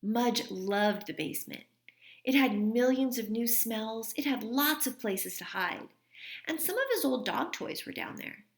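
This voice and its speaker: A woman reading a children's story aloud expressively and deliberately, as a teacher speaking to young listeners. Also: fairly high pitch, expressive.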